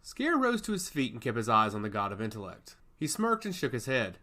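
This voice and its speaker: A male narrator tells a fantasy story from a script. His pitch is mid-range and moves about in a lively, animated way. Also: brisk.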